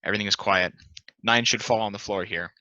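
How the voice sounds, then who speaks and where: A man narrates a play's stage directions at a table read. His voice is fairly low and very bright and forceful. The recording is dry and somewhat lo-fi. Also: fairly even intonation, fast talker, no room sound. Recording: dull, lo-fi close dry recording.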